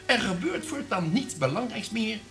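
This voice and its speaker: An actor playing a scheming, grandiose radio director with a commanding manner in a radio play. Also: male voice, high voice, sing-song, normal speed, creaky, a bit bright, soft background music, full character voice.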